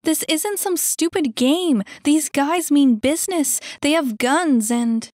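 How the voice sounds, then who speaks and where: Feisty runaway girl, acted by a woman narrator: high, sharp, suspicious and defiant storybook heroine. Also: slow pace, dry recording, studio quality. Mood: urgent, suspicious. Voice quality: high-pitched. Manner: sharp, exclamatory, challenging.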